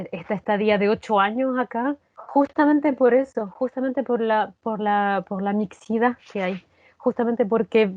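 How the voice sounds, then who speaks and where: A woman's voice: medium pitch, dry recording. Chilean woman, earnest, in dry dull audio. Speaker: actress, interview guest. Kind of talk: reflective personal conversation.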